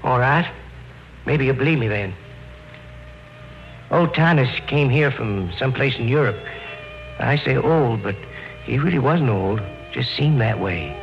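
An insistent, ominous old country caretaker in a vintage radio horror play. His voice is gravelly and his delivery folksy and halting, full of foreboding. The recording is dull and aged, with music behind him. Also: medium pitch, lively intonation. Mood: insistent warning. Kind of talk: old-time radio drama acting.

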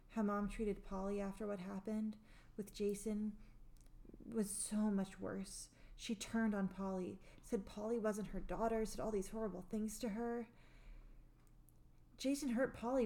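A woman's voice: mid-range voice, dark tone, lightly acted. A woman playing an earnest, guarded teenage girl in an amateur radio play, speaking sincerely and a little hesitantly.

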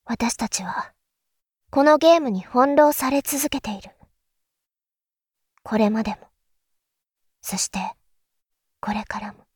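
A woman acting as an enigmatic elder sister from an audio drama, in a high voice with a slow, measured delivery. She is calm and philosophical, explaining things like a teacher. The sound is dry and close. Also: slightly rough, no echo. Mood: grave. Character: calm, enigmatic elder sister musing darkly about world affairs.

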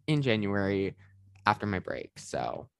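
A man's voice: lowish voice, big pitch swings, a little slow, gravelly, a bit bright, clear, resonant tone, no echo. A teenage boy hosting a podcast, chatting casually and rambling with lots of "like.". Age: teens. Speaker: podcast host. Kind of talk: casual chat.

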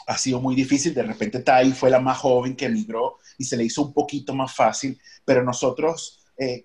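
A man with a Venezuelan accent hosting a casual podcast, talking in an animated way, quick in places and slow in others, with fillers. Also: mid-range voice. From Maracay, Venezuela. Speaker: podcast host; baseball stadium announcer.

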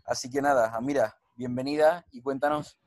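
A man's voice: mid-range voice, fairly even intonation, brisk, a little mellow, dry recording. Man hosting, dry sound. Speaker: podcast host and strength coach. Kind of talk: casual podcast interview chat.

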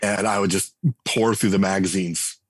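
A man's voice: low-pitched, steady tone, normal speed, slightly rough, no echo. A man in his forties speaking as a guest in a casual interview, talking loosely with many restarts and fillers. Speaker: game writer and designer guest. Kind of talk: conversational podcast interview.

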